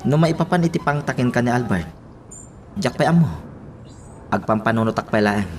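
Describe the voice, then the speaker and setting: An actor playing an earnest friend giving persuasive advice in a radio drama. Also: male voice, medium pitch, lively intonation, moderate pace, a little hiss or hum, natural voice. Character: earnest, advising old friend.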